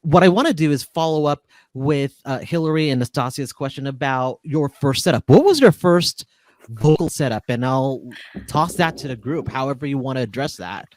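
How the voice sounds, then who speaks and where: A man's voice: medium pitch, lively intonation, moderate pace, no echo. A man hosting and casually moderating a panel discussion. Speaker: host, moderator.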